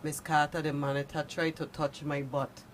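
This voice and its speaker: A woman's voice: low-pitched, level tone, normal speed. A woman speaking earnestly and emphatically in a conversational panel discussion. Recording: faint background noise, room ambience.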